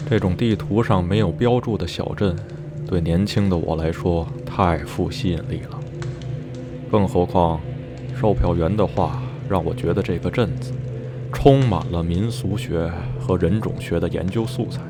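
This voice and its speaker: An actor playing a reflective man who recounts a journey from his youth in measured, literary radio drama narration. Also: low-pitched, lightly acted. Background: music.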